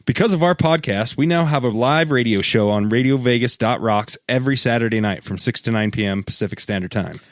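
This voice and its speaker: A man's voice: medium pitch, a little fast, hardly any pauses, dry recording, clean studio sound. A man, a podcaster and radio DJ, giving a scripted promotional testimonial.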